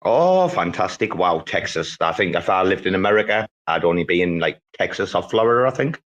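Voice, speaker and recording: A man's voice: deep voice, lively intonation, a little fast, fairly bright tone. A man with a British accent hosting a casual chat in an enthusiastic, chatty way full of informal slang. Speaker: show host.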